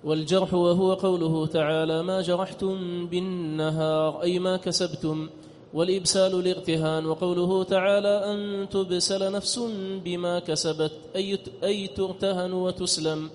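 A man's voice: high voice, flat delivery, normal speed, a little mellow. A man reading a classical text aloud as a student, in a formal recitation that partly turns to chant. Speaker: student reader. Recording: echoey hall, background noise.

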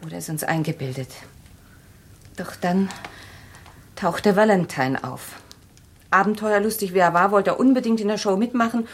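An actress playing a guarded, wistful widow and former stage assistant in a radio crime play, speaking in a measured, confessing way. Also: female voice, mid-range voice, close to an everyday voice.

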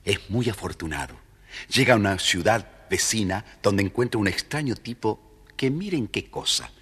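Deep, animated male storyteller. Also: moderate pace, breathy edge. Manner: theatrical, addressing the listeners. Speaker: storyteller narrator. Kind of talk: scripted children's story narration.

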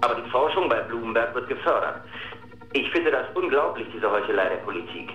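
A man's voice: medium pitch, animated, a little fast, lightly acted. An actor playing an indignant, scornful man in a German radio drama. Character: indignant man railing against political hypocrisy. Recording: dull, echoey, distant, music behind.

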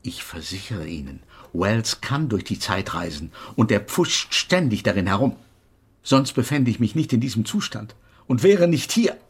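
An actor playing an invisible male physics professor in a German radio drama, haughty and exasperated, lecturing with pedantic precision in a husky voice. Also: lowish voice, sing-song, moderate pace, heavily acted.

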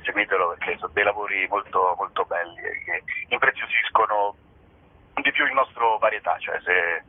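A man's slightly low voice comes through a narrow, phone-like radio line. He talks off the cuff as an interview guest, rambling a little, hesitating and correcting himself. His delivery is informal and jokey. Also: normal speed, breathy edge. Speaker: comedy performer and show presenter, interview guest. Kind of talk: spontaneous interview chat.

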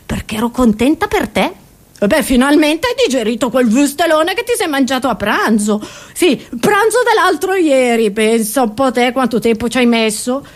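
A woman's voice: animated, moderate pace. A woman playing a chatty, half-deaf elderly grandmother who rambles, repeats herself and grumbles indignantly in a comic stage monologue. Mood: teasing. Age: older. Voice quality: high-pitched old-lady voice.

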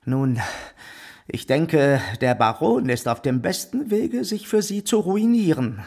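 Pompous, high-voiced, slow lecherous bourgeois. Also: male voice, lively intonation, gravelly, darkish tone, exaggerated voice. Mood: aggrieved, boastful. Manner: pompous, insistent, theatrical. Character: fat, vain, lecherous bourgeois suitor, scheming and wounded. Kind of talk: German radio drama acting.

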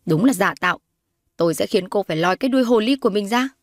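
A woman's voice: medium pitch, lively intonation, fast talker, no room sound, studio quality, lightly acted. A woman voicing a haughty, scornful middle-aged mother who sneers and accuses in a dramatized audio story. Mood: sneering.

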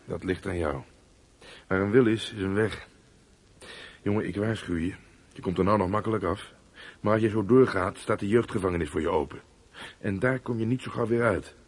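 A stern policeman with a low, rough-edged husky voice. He is brisk and fatherly in a radio drama, admonishing like someone who gives out warnings. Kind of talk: radio play acting.

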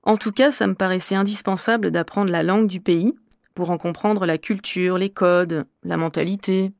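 A woman from Normandy, a French teacher, answering interview questions in a measured, clear, explanatory way. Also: fairly low pitch, fairly even intonation, dry recording, studio quality. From Normandy, France.

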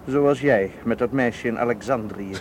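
An actor playing a loyal, frank investigator's partner in a radio drama, conversational and a little defensive. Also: male voice, medium pitch, normal speed, noisy background, lightly acted. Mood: defensive, teasing. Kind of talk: radio drama acting in Dutch.

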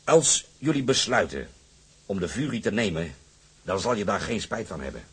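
Old male sea captain for radio drama: low, very husky voice, proud, blunt, insistent. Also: very animated, normal speed, a bit bright, heavily acted. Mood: proud, brooding. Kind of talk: Dutch radio drama acting.

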